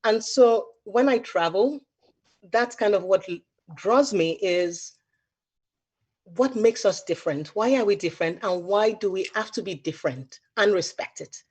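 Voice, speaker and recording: Nigerian woman telling a lively story. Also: medium pitch, measured pace, husky. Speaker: photographer, panel guest. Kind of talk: casual panel talk.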